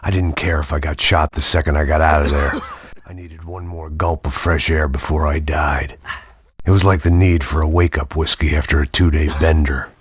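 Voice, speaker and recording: A man playing a jaded, middle-aged American action hero, narrating a noir video game in a gravelly, sardonic deadpan. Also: a bass voice, moderate pace. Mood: strained, grim. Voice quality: gravelly, husky. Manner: world-weary, sardonic, deadpan. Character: jaded hard-drinking middle-aged male action hero, cynical and bitter.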